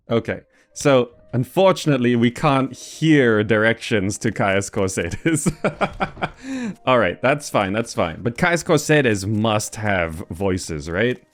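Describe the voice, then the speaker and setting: Man casually commentating a video game. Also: on the low side. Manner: hesitant, thinking aloud. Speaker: gamer presenting a game mod.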